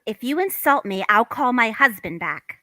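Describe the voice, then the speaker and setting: A woman's voice: fairly high pitch, lively intonation, normal speed, bright, forceful tone, no echo. A woman reading the part of a guarded, coy housewife in a stage comedy table read. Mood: cool, defiant.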